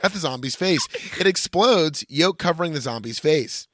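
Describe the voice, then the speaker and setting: Lively, higher-pitched male podcast co-host. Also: normal speed, no room sound. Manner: irreverent, swearing, interjecting. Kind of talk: banter and mocking dramatic script reading.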